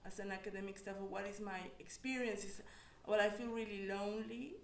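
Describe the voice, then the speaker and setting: A woman's voice: medium pitch, steady tone, slight background noise. A woman from Mexico, an academic on a panel, speaking reflectively with frequent restarts. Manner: reflective, halting, many restarts. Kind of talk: personal testimony on a panel. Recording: echoey room.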